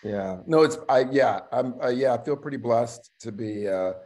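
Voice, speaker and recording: A middle-aged man speaking casually in a panel conversation, halting and reflective, trailing off between phrases. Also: medium pitch, darkish tone. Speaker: actor and theatre artistic director. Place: indoor room at home, video call. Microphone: video call microphone.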